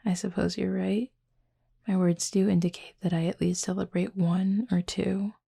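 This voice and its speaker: A woman playing a reserved, gently teasing cupid roommate in an intimate audio roleplay, speaking softly and breathily in a dry, measured way. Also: medium pitch, level tone, vocal fry, slightly rough. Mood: reserved, thoughtful. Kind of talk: intimate roleplay audio drama, addressing the listener.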